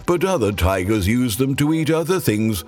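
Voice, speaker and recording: A man voicing a gentle, thoughtful tiger, a sweet best friend in a children's storybook, in a deliberate, encouraging way. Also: medium pitch, very animated, soft background music, close to an everyday voice.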